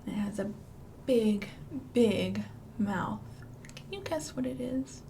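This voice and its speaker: A woman's voice: medium pitch, lively intonation, slow pace, gravelly, background noise. A woman with a Midwestern American accent, a children's librarian leading storytime with songs and read-alouds in a playful, sing-song way with dramatic whispers.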